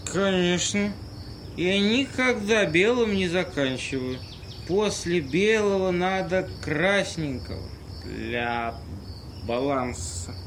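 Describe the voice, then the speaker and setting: A man playing a pompous, cheerfully shameless drunken homeless man in an amateur audio play, rambling and halting in a mock-grand way. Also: sits high, expressive, fairly bright tone, a little hiss or hum, heavily acted. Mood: boastful, rambling.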